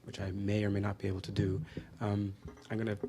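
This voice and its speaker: Low-voiced guy moderating a lecture q&a, lots of ums, slight hiss. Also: monotone, fast. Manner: casual, filler-heavy, friendly.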